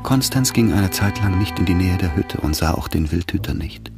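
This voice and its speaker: A man's voice: low voice, lively intonation, normal speed. A man reading scripted literary narration for a radio drama, with music behind him. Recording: studio narration over loud music.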